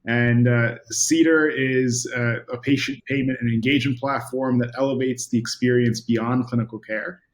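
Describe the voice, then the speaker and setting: A man hosting a video interview series, reading a sponsor note and asking questions in a quick, upbeat way with fillers. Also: medium pitch, steady tone. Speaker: podcast host.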